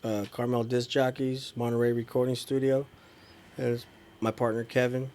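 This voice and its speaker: A man's voice: medium pitch, flat delivery, normal speed, darkish tone. A man from California in casual podcast chat as a guest, talking informally with slang and lots of "you know" and "like". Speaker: podcast guest, DJ and studio engineer. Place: small room with couches, indoors. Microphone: podcast microphone.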